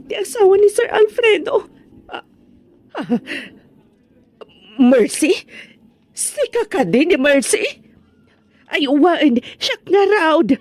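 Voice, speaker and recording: A woman acting in an Ilocano drama as distressed, argumentative women, exclaiming emotionally with a Filipino Ilocano accent. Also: very high voice.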